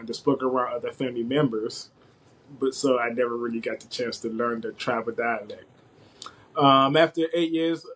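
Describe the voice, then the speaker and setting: A young man with a medium-pitched voice talks casually in an interview. He is informal and wanders a little, often restarting a thought as he explains himself. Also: a bit bright, low-quality recording. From West Africa (Liberia), later the US from age eleven. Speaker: podcast guest, IT systems administrator.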